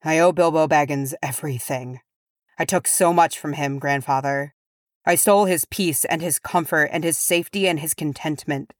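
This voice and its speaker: A woman voicing a proud, brooding male dwarf warrior in a storybook, earnest and solemn, with a low voice. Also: normal speed, no echo, studio quality, lightly acted. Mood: remorseful.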